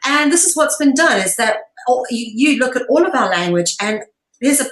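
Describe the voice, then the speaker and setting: A woman's voice: high-pitched, expressive, normal speed, a bit bright. Woman explaining earnestly in an echoey room. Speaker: interview guest, online show presenter. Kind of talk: informal interview, explaining at length.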